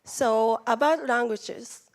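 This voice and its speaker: Slow, careful Japanese-accented woman speaker. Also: on the high side. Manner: halting, careful non-native phrasing with restarts. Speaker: conference speaker. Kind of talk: presentation talk. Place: conference room.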